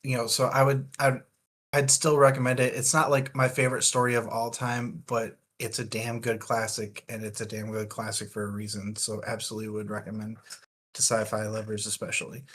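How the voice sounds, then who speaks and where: A man's voice: fairly low pitch, level tone, brisk, fairly bright tone, a little hiss or hum. Man thinking aloud in casual book chat. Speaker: podcast co-host.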